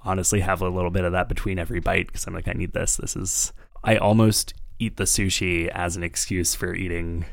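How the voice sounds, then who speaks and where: A man co-hosting a podcast, hoarse and gravelly from being sick, rambling casually with lots of fillers. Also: sits low, flat delivery, a little fast, no room sound. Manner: rambling, filler-heavy storytelling. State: hoarse from a cold. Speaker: podcast co-host. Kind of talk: casual chat.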